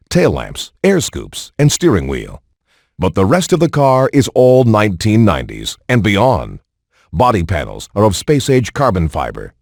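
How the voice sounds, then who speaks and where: A man's voice: mid-range voice, a little mellow. A man narrating a scripted car showcase for a racing game in a polished, promotional announcer style.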